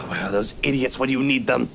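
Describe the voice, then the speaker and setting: A woman's voice: extremely low pitch, moderate pace, gravelly, slight background noise, pitched below the performer's own voice. A woman voicing a haughty, irritable rich man from a visual novel, imperious and sarcastic. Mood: sarcastic, irritated. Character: haughty, irritable wealthy man, employer of servants.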